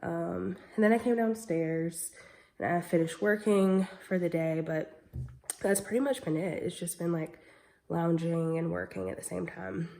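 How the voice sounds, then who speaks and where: A woman's voice: lowish voice, steady tone, a little slow, gravelly, warm tone. A young woman vlogging casually, chatty with lots of "um" and "like", sounding congested and nasal from a cold. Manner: chatty, many fillers like "um" and "like". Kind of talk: casual vlog chat.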